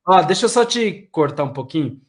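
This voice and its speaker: A man's voice: on the high side, sing-song, a little fast. A male host of a live video-call interview show, casual and chatty, reading out viewers' comments. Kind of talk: live-stream interview hosting.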